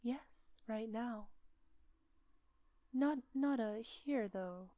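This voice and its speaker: A slow, unhurried witch played by a woman speaks close and dry in a light, higher voice. She is confident and coaxing, teasing her listener softly and often slipping into a breathy whisper, in the style of an intimate ASMR roleplay. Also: no echo. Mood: teasing, challenging.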